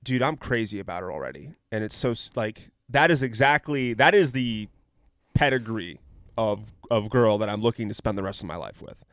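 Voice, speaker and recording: A man's voice: lowish voice, expressive, normal speed, fairly bright tone, no echo, studio quality. A man in his thirties co-hosting a casual podcast, rambling and joking, often trailing off mid-sentence. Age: 30s. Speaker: podcast co-host. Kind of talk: casual chat.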